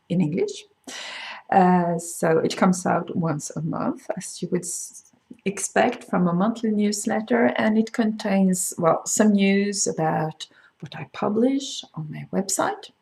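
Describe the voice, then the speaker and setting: Unhurried Swedish woman, gravelly voice. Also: mid-range voice, darkish tone. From Sweden. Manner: unhurried, with frequent thinking pauses and fillers. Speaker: crochet designer hosting a video podcast. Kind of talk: casual explanatory talk to camera. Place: home in France.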